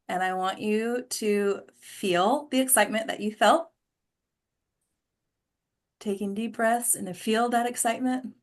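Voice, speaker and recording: A woman's voice: medium pitch, a bit bright. A woman hosting an online table read over a video call, speaking casually and motivationally as she guides the group. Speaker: host and director of an online script table read.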